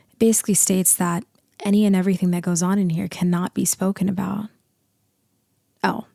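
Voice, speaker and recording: A woman playing a dominant, seductive boss in an intimate ASMR roleplay, with a deep, husky, breathy voice that often drops into whispers. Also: mellow, no echo, studio quality. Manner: slow, deliberate, often dropping into whispers.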